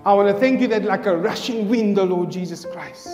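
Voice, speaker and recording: A man in his thirties leading prayer and opening a sermon in a large church hall, loud and fervent, calling out to the congregation through a headset mic. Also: high voice, a little fast. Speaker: preacher leading worship. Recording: echoey church hall with live music.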